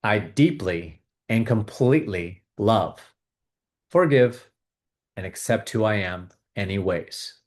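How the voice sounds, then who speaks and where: A man hosting a video, calmly guiding viewers through a tapping exercise with repeated phrases. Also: fairly low pitch, takes it slowly. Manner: calm, repetitive, coaching tone. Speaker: host leading a tapping exercise. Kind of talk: guided tapping exercise and money talk.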